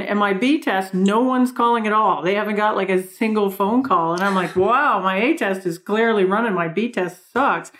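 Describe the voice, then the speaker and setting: A woman with a Canadian accent, a podcast guest who tells quick, energetic stories and laughs often. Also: medium pitch. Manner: energetic storyteller, laughs often, quotes people in voices. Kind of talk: podcast interview.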